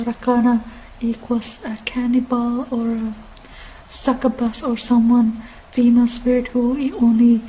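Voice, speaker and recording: Soft mellow woman, english not her first language, pretty flat ASMR lecture, bit of background noise. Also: on the high side, low-quality recording. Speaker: host of a mythology channel. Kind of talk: soft ASMR-style informal lecture on mythology.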